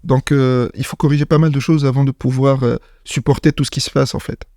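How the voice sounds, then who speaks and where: A man's voice: mid-range voice, steady tone, brisk, dark tone, no room sound, studio quality. Hesitant man talking startup business. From Morocco. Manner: hesitant, many fillers and restarts. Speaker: startup founder. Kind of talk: casual interview talk.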